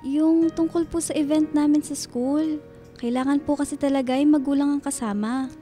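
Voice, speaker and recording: A woman's voice: high-pitched, fairly even intonation, normal speed, mellow, soft background music, natural voice. A woman playing a timid, hopeful schoolgirl daughter in a radio drama, speaking politely and pleadingly. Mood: gently pleading.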